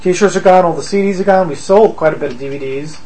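A man hosting a casual podcast recap, his voice hoarse from a lot of talking. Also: sits high, quick pace, darkish tone, rough recording. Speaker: podcast host. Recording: faint ambient background noise.

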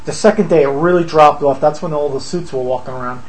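A man hosting a casual podcast recap, his voice hoarse from a lot of talking. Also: fairly high pitch, quick pace, rough recording. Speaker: podcast host. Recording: faint ambient background noise.

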